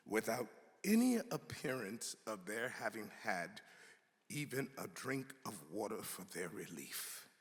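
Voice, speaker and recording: A man giving a dramatic reading of an old historical text, with emphatic, halting, weighty delivery. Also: medium pitch, gravelly, fairly bright tone. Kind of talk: dramatic reading of an 18th-century pamphlet. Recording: reverberant hall.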